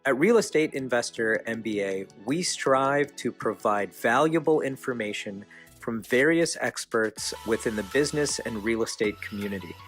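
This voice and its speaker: A man's voice: medium pitch, expressive, normal speed, a bit bright. American male announcer over faint music. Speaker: show announcer. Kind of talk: scripted promotional intro narration.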